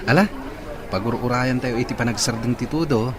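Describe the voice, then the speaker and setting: A man's voice: medium pitch, a little fast, background noise, close to an everyday voice. A man with a Filipino accent playing an easygoing, generous young boyfriend in an Ilocano audio drama. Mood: amiable.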